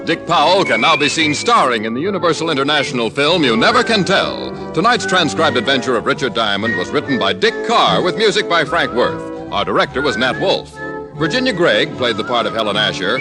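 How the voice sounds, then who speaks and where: Male American announcer, vintage radio; animated intonation, polished sales delivery, music on an old recording. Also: medium pitch, brisk. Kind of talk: 1950s radio announcing, scripted ad read.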